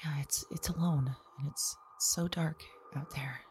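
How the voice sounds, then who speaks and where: A woman's voice: sits low, fairly even intonation, moderate pace, a little mellow, slight background noise, lightly acted. A woman playing a frightened woman who whispers, repeats herself and breaks off mid-sentence. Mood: hushed. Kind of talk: audio drama monologue.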